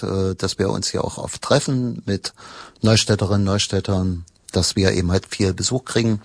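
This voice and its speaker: A middle-aged man with a fairly low voice gives interview answers. He speaks haltingly, pausing often as he explains. The recording is close and dry. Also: gravelly, no room sound. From eastern Germany. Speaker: local historian, history workshop leader.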